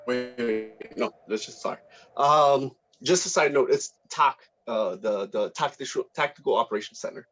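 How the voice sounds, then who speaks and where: Halting male director, muffled audio. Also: medium pitch, fairly bright tone, soft background music. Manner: halting, searching for words. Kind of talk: informal directing notes.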